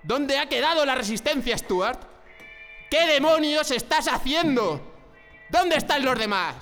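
A man's voice: very high voice, expressive, unhurried, pushed and bright, exaggerated voice. A man from playing an anxious, defiant dissident in a radio drama, earnest and questioning. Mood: defiant, accusing. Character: defiant, anxious male dissident who hates the regime. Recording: long hall reverb, faint music behind.

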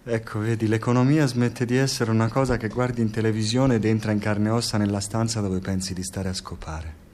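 An actor playing a talkative, insecure intellectual researcher in an Italian radio drama, with an Italian accent, rambling and needling in a naturalistic way. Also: male voice, on the low side, steady tone, brisk, darkish tone, slight background noise, natural voice. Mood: nervy, probing.

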